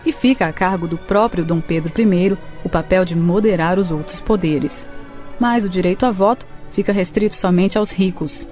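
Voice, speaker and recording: Mellow woman narrating a radio drama. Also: lowish voice, normal speed, soft background music.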